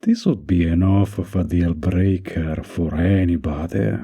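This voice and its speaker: A man playing a mafia boss in an intimate roleplay audio, menacing yet kindly, with an unhurried, lightly teasing, flirtatious manner and a gravelly, husky voice. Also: deep voice, expressive, dark tone, exaggerated voice. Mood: firm, reassuring.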